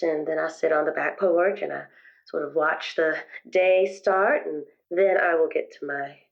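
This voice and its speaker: Woman acting as middle-aged therapist, low voice, gentle but weary, halting, quietly bitter, improvised roleplay, slightly muffled recording. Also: creaky. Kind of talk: improvised in-character roleplay for an actual play podcast.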